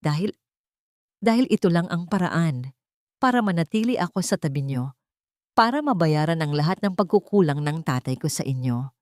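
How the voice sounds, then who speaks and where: Sick young servant woman, as a woman narrator plays her, weak, halting, submissive, audiobook drama, dry. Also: low-pitched, lively intonation, darkish tone, no echo. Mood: bitter, resigned.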